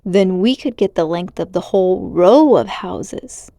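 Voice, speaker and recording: A woman voicing an excitable, brooding and adventurous schoolboy in a storybook, speaking emphatically. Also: lowish voice, unhurried, gravelly, dry recording, clean studio sound. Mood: eager, bold. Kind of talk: storybook character voice.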